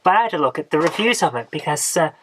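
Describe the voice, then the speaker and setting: A woman, hoarse from a coming cold, hosting a casual retro game haul video. She rambles chattily and often ends with tag questions. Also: low-pitched, lively intonation, fast talker, slight background noise. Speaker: retro gaming channel host.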